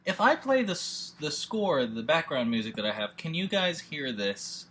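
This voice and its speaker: A man's voice: a little high, sing-song, moderate pace, fairly bright tone, slightly rough. Rambling man reading stage directions. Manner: rambling, filler-heavy, offhand. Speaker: writer and host of the table read. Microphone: video call with desktop audio. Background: score music.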